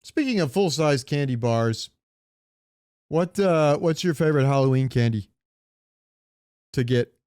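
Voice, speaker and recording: A man's voice: mid-range voice, lively intonation, unhurried, pauses often, darkish tone, clear, resonant tone, dry recording, studio quality. A man chatting casually as a podcast co-host in a rambling way, swearing now and then and asking questions. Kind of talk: casual chat about comics and movies.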